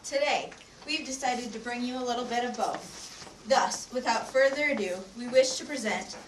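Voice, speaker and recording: A young woman tells a scripted story as a readers theatre narrator. Her fairly high voice carries in a roomy space, over faint noise. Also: moderate pace. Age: young adult. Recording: echoey room, distant mic, faint noise.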